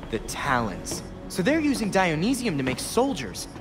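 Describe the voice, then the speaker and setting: A young man playing an earnest, sharp vigilante hero in a video game, inquisitive, wry and challenging in manner. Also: high-pitched, huge pitch swings, moderate pace, over music. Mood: curious, probing. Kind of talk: video game cutscene acting.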